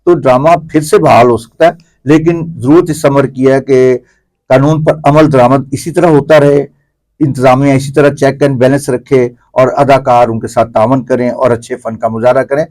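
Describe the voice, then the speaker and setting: Man, middle-aged, Pakistani accent, medium pitch, opinionated interview guest, explains at length, repeats phrases. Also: steady tone, a little mellow, rough recording. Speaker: interview guest, theatre commentator. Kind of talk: conversational opinionated discussion.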